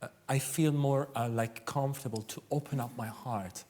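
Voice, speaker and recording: Male debate panellist, native Persian speaker with that accent. Candid, halting, personal delivery. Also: mid-range voice, steady tone, darkish tone, slight background noise. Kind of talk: personal reflection in a live debate. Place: theatre stage, live panel debate.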